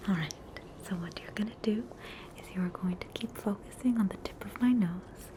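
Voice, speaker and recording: A woman with an Australian accent playing a polite, gossipy hospital witch in a softly whispered ASMR roleplay. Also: mid-range voice, noisy background, lightly acted. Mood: attentive, reassuring. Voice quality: soft, breathy whisper. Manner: courteous, attentive, unhurried.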